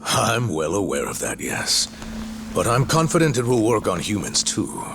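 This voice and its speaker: An actor playing an anxious, indignant doctor in a video game, halting and emotionally volatile. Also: male voice, lowish voice, wildly swooping pitch, background noise. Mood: smug, soothing. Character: anxious, indignant male doctor and research chief. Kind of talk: dramatic video game acting.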